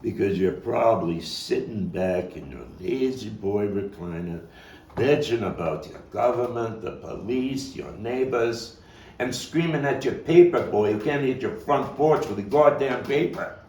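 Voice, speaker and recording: An actor playing a weary, foul-mouthed, combative neighborhood philosopher in a halting, emphatic stage monologue, his voice gravelly. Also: on the low side, animated, a little mellow. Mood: bitter, combative. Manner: rambling, profane, halting, emphatic street talk. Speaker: playwright and actor. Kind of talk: theatrical monologue at a table read. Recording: distant mic, roomy echo, faint noise.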